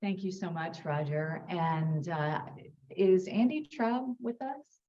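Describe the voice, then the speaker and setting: Female moderator, deep mellow voice, lo-fi dull recording. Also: takes it slowly. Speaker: session moderator. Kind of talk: introducing speakers.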